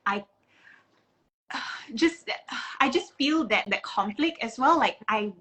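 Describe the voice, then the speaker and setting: Woman, bright clear-edged voice, interview host, reflective, hesitant, casual conversational phrasing. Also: on the high side, lively intonation, slow, a little hiss or hum. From Singapore. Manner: hesitant, searching for words, sighing.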